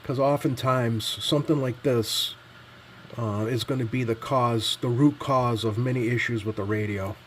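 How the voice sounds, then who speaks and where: A man giving casual, unscripted commentary as a repair technician, pausing often with many ums as he goes. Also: mid-range voice, fairly even intonation, slight background noise. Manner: halting, hesitant delivery heavy with ums and pauses. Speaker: repair technician presenting his bench work.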